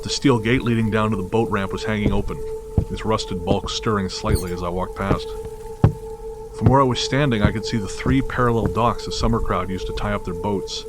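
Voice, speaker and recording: A man narrating a scripted first-person horror story in a measured, literary storytelling manner. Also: on the low side.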